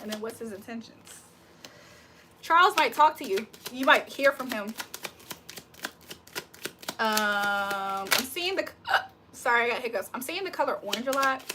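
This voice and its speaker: A woman's voice: fairly high pitch, noisy background. A woman doing a casual livestream tarot reading, chatting with viewers in slangy talk and reading their comments aloud.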